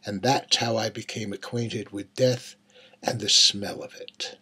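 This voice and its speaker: A man performing a dramatic monologue as a menacing, wistful serial killer confessing in an intimate, halting, husky voice. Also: on the low side, fairly even intonation, pushed and bright, full character voice. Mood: grim, confiding. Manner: intimate, halting, dropping to near-whispers. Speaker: writer reading own monologue.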